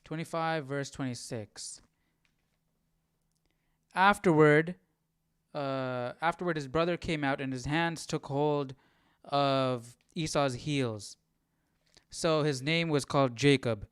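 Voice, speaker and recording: A man's voice: fairly high pitch, slow pace, smooth, no room sound, clean studio sound. A man hosting a Bible study livestream in casual chat, questioning and thinking aloud informally. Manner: informal, questioning, muttering to himself.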